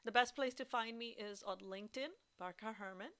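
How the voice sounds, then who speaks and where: A woman's voice: a little high, brisk, fairly bright tone. A woman podcast host reading a scripted show introduction.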